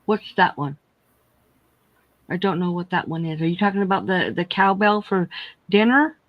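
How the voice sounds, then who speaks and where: A talkative woman in her sixties on a casual livestream, telling long family stories and calling out to viewers. Her audio is dry and a little muffled, as if it came through lo-fi gear. Her pitch is middling. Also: expressive, moderate pace, no room sound. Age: 60s. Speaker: livestream co-host. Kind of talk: casual chat.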